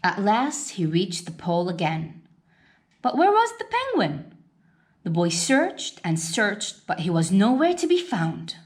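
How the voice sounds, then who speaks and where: A woman reading a children's picture book aloud as a storyteller, with expressive, dramatic delivery. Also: mid-range voice, a little slow.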